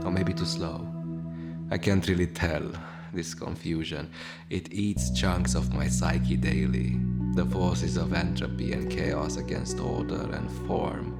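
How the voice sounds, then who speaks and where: A man playing a melancholic, world-weary radio host, delivering a brooding, wry confessional monologue in florid words. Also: low-pitched. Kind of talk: scripted radio monologue, noir-like confessional narration. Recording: music under the voice. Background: guitar music.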